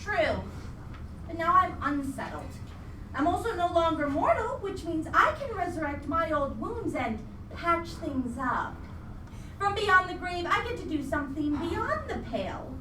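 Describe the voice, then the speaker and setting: A vengeful female ghost in a live radio play, high and swooping in pitch. The delivery is theatrical and taunting, full of demonic glee. It comes across echoey and distant from the mic. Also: very animated, a little slow, noisy background, full character voice. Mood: gloating menace. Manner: theatrical, taunting, pun-heavy.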